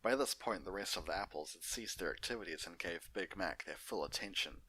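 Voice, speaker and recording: A man's voice: sits low, animated, a little fast, sharp tone, slightly rough, rough recording. A man narrating and hosting an unedited fan fiction story reading.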